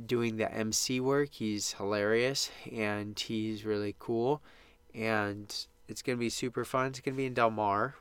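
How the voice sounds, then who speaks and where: A man hosts his own podcast in a small home sound booth, chatting in a loose, upbeat way with lots of restarts. His voice sits a little low and comes across smooth and clean. He sounds stuffed up from allergies, and the booth keeps the sound close and dry. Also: a bit bright, dry recording. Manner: rambling, upbeat, with many restarts. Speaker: podcast host. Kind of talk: casual solo podcast monologue.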